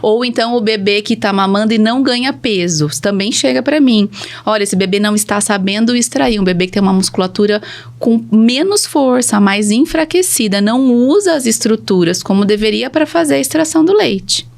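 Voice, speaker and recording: A woman's voice: a little high, lively intonation, brisk, gravelly, darkish tone. Didactic Brazilian woman, interview guest. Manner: didactic, poses questions then answers them. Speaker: speech therapist, podcast guest. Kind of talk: conversational explanation in an interview.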